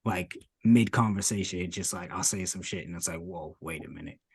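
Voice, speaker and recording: A young man from south of Boston with a Boston accent, co-hosting a casual podcast, animated and laughing often as he slips into put-on voices. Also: sits low, fairly quick, breathy edge. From Massachusetts, south of Boston. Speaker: podcast co-host.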